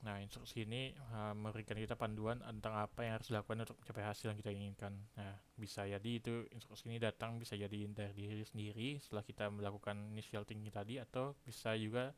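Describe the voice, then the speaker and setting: A man in his twenties from Indonesia, a student explaining his class in a halting way. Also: fairly low pitch, level tone. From Pekanbaru, Indonesia. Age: 20s. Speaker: university engineering student. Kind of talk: self-introduction and lecture recap to camera.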